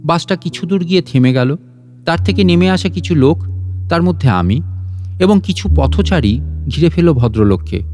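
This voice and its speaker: A man's voice: a little high, animated, normal speed, a little mellow. A man reads a literary story as a scripted audio narration. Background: guitar and instrumental music.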